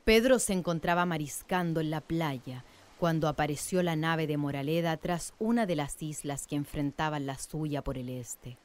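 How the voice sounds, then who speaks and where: A woman narrating a scripted radio drama in a measured, literary storytelling manner. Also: sits low.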